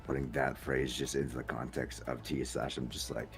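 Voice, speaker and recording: A man's voice: very deep voice, little pitch movement, moderate pace, faint music behind. A man with a Scottish accent hosting a casual chat podcast, who slips into accents as he talks. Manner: slips into accents while talking. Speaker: podcast host.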